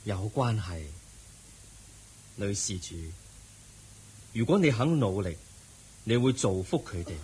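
An actor playing the Buddha as a serene, compassionate teacher in a radio drama, speaking measured, earnest Cantonese with a Hong Kong accent. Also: male voice, on the low side, expressive, darkish tone, husky, exaggerated voice. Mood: consoling, instructive.

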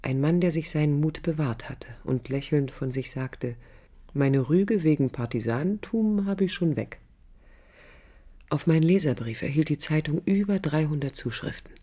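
An actress playing a young, idealistic, defiant woman architect in a radio drama, speaking with intense, expressive delivery that often drops into breathy murmurs. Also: low-pitched, normal speed. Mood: resolute, bitter. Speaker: lead actress and narrator. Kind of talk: German radio drama, introspective first-person narration. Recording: 1980s radio play production.

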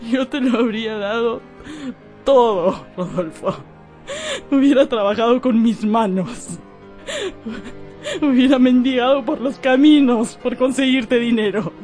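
A high-pitched, desperate and proud wife, played by an actress in a tragic radio drama. She speaks slowly and with theatrical passion, pleading and reproaching. Faint music plays behind her. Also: darkish tone, lightly acted. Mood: wounded reproach. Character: desperate, proud, tragic married woman in anguish. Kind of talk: Spanish-language radio drama acting.

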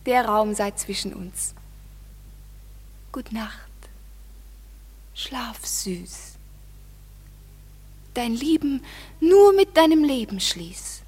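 A woman playing a modest, affectionate young maiden in a classic radio play, speaking gentle verse. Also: on the high side, animated, husky, full character voice. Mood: coaxing, tender. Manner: gentle, deliberate verse delivery.

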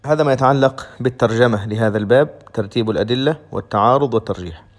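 A man's voice: mid-range voice, racing. A man giving a lecture on a legal text, methodical and restating each point in simpler words. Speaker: Islamic law teacher.

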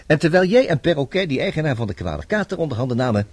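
A man's voice: on the high side, very animated, fast talker, husky, dry recording. An actor playing a worldly, self-assured man who advises his troubled friend in a chatty, teasing radio drama style. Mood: persuasive, teasing.

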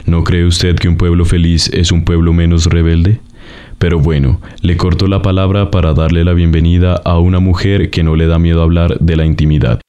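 A man's voice: low voice, flat delivery, moderate pace, dark tone, clean tone, natural voice. A man playing a suave, self-important, mischievous radio host with a Colombian accent, in mock presenting style. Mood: suave, teasing.

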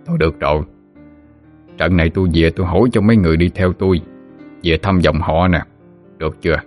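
A man voicing a gruff but indulgent old grandfather who grumbles fondly in a dramatized story. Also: sits low, steady tone, quick pace, a little mellow, soft background music, close to an everyday voice. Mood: grumbling affection.

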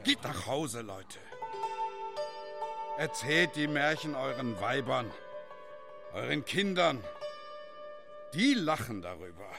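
An actor playing an ageing village schoolmaster in a German radio play, lecturing in a theatrical, emphatic way. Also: male voice, mid-range voice, sing-song, takes it slowly, creaky, pauses often, music in the background, full character voice. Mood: scolding.

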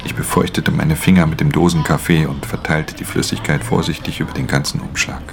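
A man playing a professional pickpocket who recounts his theft in measured, breathy, half-whispered radio drama narration. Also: rumbling low, fairly even intonation. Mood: controlled. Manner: measured, hushed storytelling. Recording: music under the voice.

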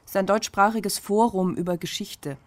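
An actress playing a brisk, wry, matter-of-fact woman explaining things in a radio crime drama. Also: mid-range voice, fairly even intonation, close to an everyday voice. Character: brisk, wry woman, computer-savvy confidante.

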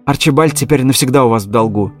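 Guy voicing a bored rat with a cold, super formal, deadpan. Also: medium pitch, fast, over music, natural voice.

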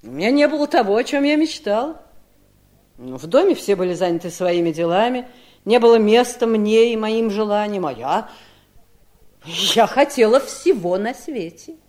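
An actress playing a scornful, cold-hearted, greedy wife in a 1970s radio drama, with a mocking, theatrical delivery. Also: female voice, mid-range voice, big pitch swings, moderate pace, darkish tone, close to an everyday voice. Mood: mocking, reminiscing.

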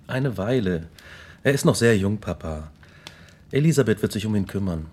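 An actor playing an earnest, ambitious young student in a radio drama, speaking in clearly phrased dialogue and narration. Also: male voice, lowish voice, animated, moderate pace, darkish tone. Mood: earnest, affectionate. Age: young adult. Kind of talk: radio drama acting, with first-person narration.

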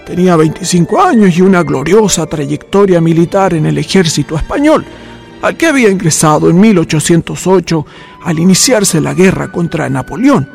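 Man acting a theatrical old Chilean man, high-pitched. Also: lively intonation, creaky, darkish tone, faint music behind. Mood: solemn narrating. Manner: theatrical, unhurried, storytelling. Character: courteous, theatrical old man, storyteller host. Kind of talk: Spanish-language radio drama acting.

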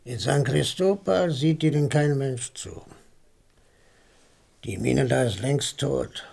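An actor playing a bitter old father grumbling about his son in a German radio play, with a creaky, gravelly voice. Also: medium pitch, slow, a little mellow, full character voice. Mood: confiding.

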